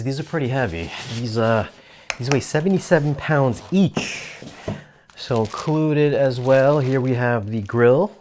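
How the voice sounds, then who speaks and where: A man's voice: on the low side, background noise. A man presenting a product review in a casual, conversational way, explaining details as he goes. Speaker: audio gear reviewer.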